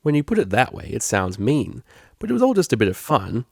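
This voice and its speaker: A man's voice: mid-range voice, wildly swooping pitch, fairly quick, vocal fry, husky, no echo, studio quality, heavily acted. A man voicing a breezy, earnest male pony tutor and counselor who talks casually and emphatically in a story. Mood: defensive.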